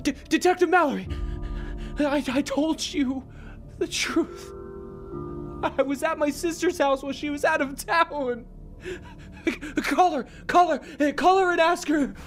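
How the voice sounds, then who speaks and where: A man's voice: extremely high pitch, unhurried, long pauses, faint music behind. A man playing a terrified suspect in an audio drama, stammering and crying as he pleads innocence. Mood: panicked pleading. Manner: stammering, tearful begging.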